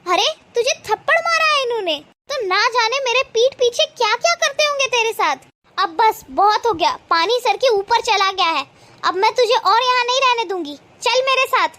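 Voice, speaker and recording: A woman voicing indignant women of a family, mother and daughter, in an animated moral story, reproachful and dramatic. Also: very high-pitched, moderate pace, full character voice. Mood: outraged. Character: protective, indignant mother-in-law and her young married daughter.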